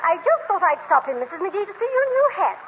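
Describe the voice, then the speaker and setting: A noisy, thin old radio recording of a pompous society matron. She flutes along in a high, very animated voice, affected and condescending in classic 1930s comedy manner. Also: fast talker. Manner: haughty, fluting, pompous. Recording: tinny, hissy 1939 radio broadcast.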